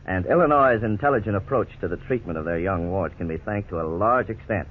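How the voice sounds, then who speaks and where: An actor playing a legal expert who explains a court case in a measured way, in a 1950s radio drama. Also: male voice, sits low, close to an everyday voice. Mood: explaining. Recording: tinny old radio broadcast, faint hiss.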